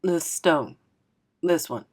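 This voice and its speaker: A woman voicing a gruff, curt, big-bellied older male bandleader in a storybook. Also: low-pitched, lively intonation, slow pace, darkish tone, pitched below the performer's own voice, heavily acted. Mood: gruff, bossy.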